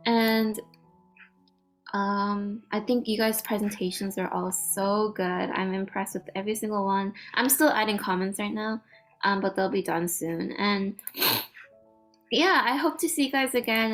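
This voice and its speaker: A woman teacher giving casual, effusive feedback to students, informal and peppered with "like". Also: medium pitch. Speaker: guest teacher.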